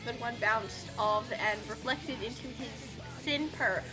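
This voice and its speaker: A woman's voice: a little high, a little slow, low-quality recording. A woman giving a theatrical, exclamatory comedic reading of a story as co-reader. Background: music.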